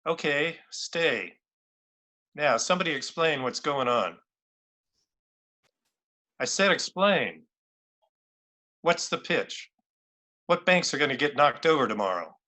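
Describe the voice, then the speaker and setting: A man's voice: a little high, lively intonation, slow, pauses often, pushed and bright, exaggerated voice. A man playing a tough, sarcastic police lieutenant, commanding and mocking, in an amateur radio play. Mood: bullying. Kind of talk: amateur old-time radio play acting.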